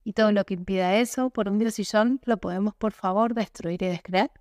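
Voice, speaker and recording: A young woman, as a facilitator, reciting repetitive clearing statements in a steady, rhythmic way. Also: mid-range voice, brisk, no echo, studio quality.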